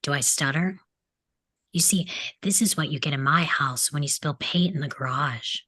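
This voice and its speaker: A woman's voice: low voice, moderate pace, pushed and bright, husky, dry recording, heavily acted. A woman playing a bitter, sarcastic teenage delinquent boy who mocks his family in a script table read. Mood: hurt, defiant. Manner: sarcastic, aggressive, mimics parents in mock voices.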